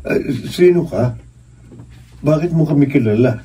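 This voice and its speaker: Regretful elder son, mellow soft voice, earnest, emotional radio drama, distant mic, faint noise. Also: male voice, on the high side, expressive, unhurried, slightly rough. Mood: puzzled. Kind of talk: Filipino radio drama acting.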